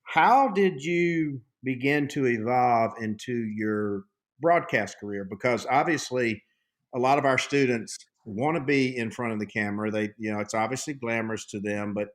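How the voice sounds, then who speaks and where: A man with a mid-range voice hosts a conversational interview. He drops in quick agreements and works his way into questions with a few false starts. His delivery is plain and ordinary. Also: mid-range voice. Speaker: interview host.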